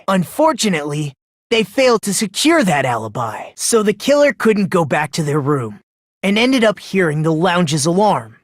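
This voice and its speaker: An actor playing an eerily polite, smug high school boy in an anime game dub, talking in a condescending, leisurely, theatrical way. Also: dry recording, studio quality. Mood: calm accusing. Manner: condescending, leisurely, theatrical, rhetorical tag questions. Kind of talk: anime video game dub acting, deductive monologue.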